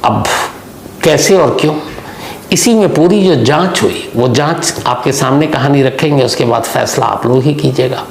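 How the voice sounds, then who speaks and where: A man hosting a true crime story, explaining it deliberately in a gravelly voice and putting questions to his audience. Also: medium pitch. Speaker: host narrating true crime stories. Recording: echoey room, faint background noise.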